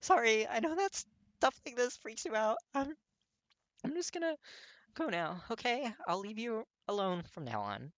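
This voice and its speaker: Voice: medium pitch, big pitch swings, measured pace, fairly bright tone, dry recording, dull audio, pitched above the performer's own voice. A performer voicing a desperate, earnest teenage boy who stammers and pleads with a breaking, tearful voice. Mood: crying, apologetic. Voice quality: raspy.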